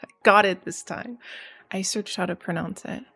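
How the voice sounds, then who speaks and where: A woman narrates a storybook aloud in a gentle, unhurried voice. She keeps things casual, with soft fillers between passages, and her pitch is moderate and even. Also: slightly rough, faint music behind. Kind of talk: cozy read-aloud narration.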